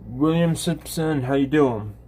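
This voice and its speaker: A man in his twenties with a slightly high-pitched voice hosts a casual livestream. He speaks in a halting way, often repeating himself and restarting phrases as he addresses his viewers. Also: dark tone, slight background noise. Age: 20s. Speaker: live streamer, channel host.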